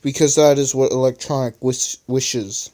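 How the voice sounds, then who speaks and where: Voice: mid-range voice, steady tone, warm tone, natural voice. A reader voicing a stern male team leader who gives grim orders. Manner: stern, slow.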